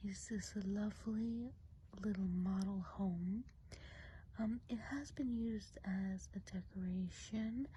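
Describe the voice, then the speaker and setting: A woman playing a kindly, whimsical woodland witch in an ASMR role play, whispering softly, breathily and gently. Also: medium pitch, natural voice, poor audio. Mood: gentle, welcoming. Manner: slow, gentle, unhurried explaining. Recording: faint background noise.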